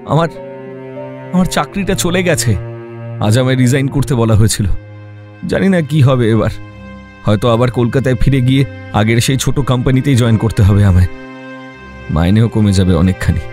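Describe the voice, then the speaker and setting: An actor playing a desperate, heartbroken young man in an audio drama, pleading and halting. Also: mid-range voice, darkish tone, soft background music, lightly acted. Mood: pleading, dejected. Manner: pleading, halting, repeats words. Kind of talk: Bengali audio drama acting.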